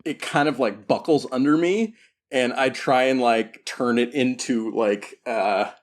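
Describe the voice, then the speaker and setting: A man chatting casually and haltingly as a player at a tabletop game. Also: mid-range voice.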